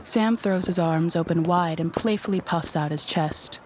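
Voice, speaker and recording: Lower-voiced woman narrating audio drama. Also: moderate pace, noisy background. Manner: descriptive storytelling.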